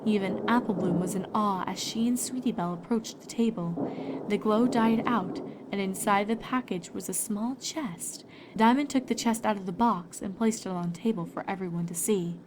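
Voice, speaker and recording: A woman's voice: mid-range voice, normal speed, noisy background. A woman reading scripted story narration as the narrator of a fan fiction.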